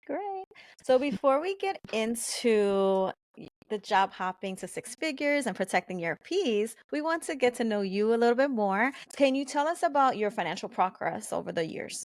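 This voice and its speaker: A woman's voice: fairly high pitch, lively intonation, moderate pace, no room sound. A woman co-hosting a podcast, introducing and questioning a guest in a conversational style. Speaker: podcast co-host. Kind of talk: conversational interviewing.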